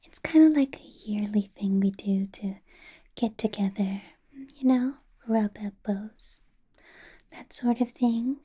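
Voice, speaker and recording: Woman acting a slow, whispering, possessive vampire boss. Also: mid-range voice, warm tone. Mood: coaxing, adoring. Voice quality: breathy whisper. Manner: slow, deliberate, coaxing, teasing. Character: possessive, doting female vampire boss, playfully menacing. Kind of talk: whispered ASMR roleplay, personal attention.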